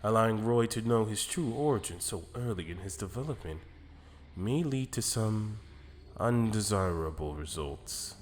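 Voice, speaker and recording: A man playing a calm, calculating celestial attendant who speaks in a measured, formal, deliberate way in an anime fan drama. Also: lowish voice, in a large hall, a little hiss or hum, natural voice. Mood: cryptic.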